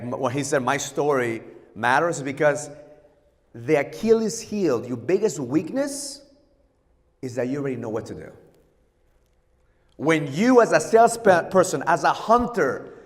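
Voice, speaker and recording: A man's voice: a little high, big-room sound. A middle-aged man from Ecuador, a native Spanish speaker, giving a blunt, profane sales keynote and mixing Spanish with English. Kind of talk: motivational keynote talk. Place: hotel conference hall.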